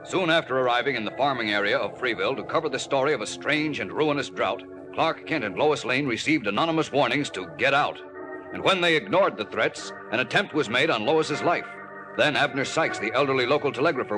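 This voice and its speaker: A man's voice: mid-range voice, animated, a little fast, rough recording. A man announcing and narrating in 1940s radio serial style, emphatic and suspenseful. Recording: old radio recording with loud music.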